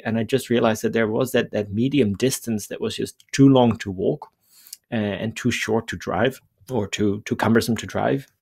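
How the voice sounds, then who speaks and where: A man in his thirties from the Netherlands with a Dutch accent, a guest talking about his work in a relaxed, conversational way over a headset on a video call. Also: on the low side, vocal fry. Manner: relaxed, conversational, thinking aloud. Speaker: guest, bicycle planner. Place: home room, indoors.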